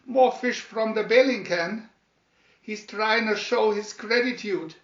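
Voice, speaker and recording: A man's voice: high voice, slow, a bit bright, reverberant, lo-fi sound, voice pushed up, full character voice. A man voicing a good-natured, plucky cartoon sailor with folksy, mangled grammar and emphatic delivery. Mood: chuffed.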